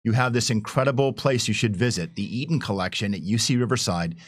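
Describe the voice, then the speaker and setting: A middle-aged man reminiscing casually as a panel guest, enthusiastic and colloquial, in halting phrases. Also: on the low side, no room sound.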